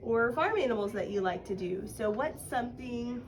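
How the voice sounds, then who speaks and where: A woman with a somewhat high voice speaks as a teacher in a one-to-one lesson. She guides casually and encouragingly, prompting as she goes. There is faint noise in the background. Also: lively intonation, moderate pace. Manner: encouraging, explains by prompting with questions.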